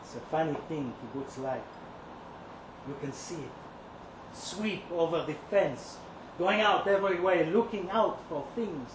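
A man performing a dramatic first-person story as a bitter, lovesick young kibbutz kitchen worker, brooding and sardonic, with dramatic pauses. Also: on the high side, animated. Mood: brooding, wistful. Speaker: reader at a live literary event. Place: indoor live reading venue. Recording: roomy live event recording, clear background noise.

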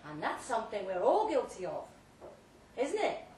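Pompous, drugged star chef played by a woman, high-pitched emphatic oratory for a live story, distant roomy pickup. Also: huge pitch swings, moderate pace, a little mellow. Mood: earnest. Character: drugged, grandiose male celebrity chef making a speech. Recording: roomy, distant live recording, faint noise.

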